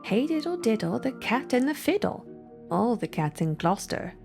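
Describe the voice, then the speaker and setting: A woman's voice: a little high, big pitch swings, normal speed, vocal fry, darkish tone, soft background music. A woman voicing a hungry, vexed male cat who sighs and mews plaintively outside a shop. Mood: wistful sighing. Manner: sighing, mewing plaintively.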